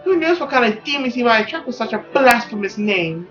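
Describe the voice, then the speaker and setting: A woman's voice: a little high, lively intonation, a little fast, a bit bright, lo-fi sound, soft background music. A woman voicing a haughty, scornful society lady gossiping in an affected, mocking way in a visual novel. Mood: gossipy, scornful.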